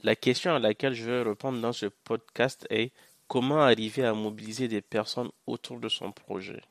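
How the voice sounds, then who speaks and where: A young man from Niger, a Hausa speaker, giving a measured, earnest first-person account on a podcast with occasional hesitant fillers. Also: medium pitch, steady tone, a little hiss or hum. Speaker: podcast guest, social entrepreneur. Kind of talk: first-person podcast testimony, partly read.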